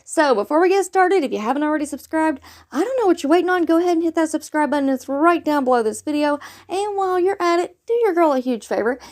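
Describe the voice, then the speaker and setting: A woman's voice: high voice, darkish tone. Chatty woman with a sore throat. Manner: chatty, upbeat, friendly, addresses viewers as "y'all" and "guys". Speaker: couponing channel host. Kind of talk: casual vlog talk to viewers.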